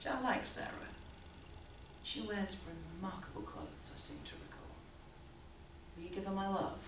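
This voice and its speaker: A man's voice: sits high, wildly swooping pitch, moderate pace. Man acting Elwood, a volatile, overbearing boss. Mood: disdainful, fretful. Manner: rambling, insistent, with rhetorical questions and sudden swells. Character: Elwood, overbearing, needling male boss fretting about the young. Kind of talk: theatrical stage monologue. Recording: roomy, distant mic, faint background noise.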